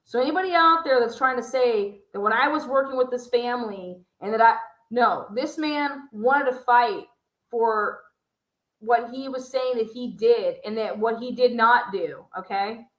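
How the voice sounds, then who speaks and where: A woman's voice: on the high side, unhurried, fairly bright tone. A woman hosting a livestream, giving impassioned, indignant commentary with emphatic swearing. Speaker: livestream host. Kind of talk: impassioned live commentary on a recording. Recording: roomy, echoing livestream sound.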